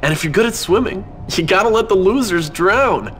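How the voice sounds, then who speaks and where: A man's voice: high voice, expressive, brisk, fairly bright tone, slight background noise. An actor playing a manipulative, mocking teenage boy in a video game, with sarcastic, theatrical, mock-friendly delivery. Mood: needling, scheming. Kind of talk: video game cutscene acting.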